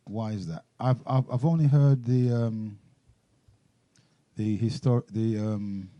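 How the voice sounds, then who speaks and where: Dark-toned man questioning on radio. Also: lowish voice, no echo. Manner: questioning, measured, halting with "um" and restarts. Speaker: radio talk show host. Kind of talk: radio talk show discussion.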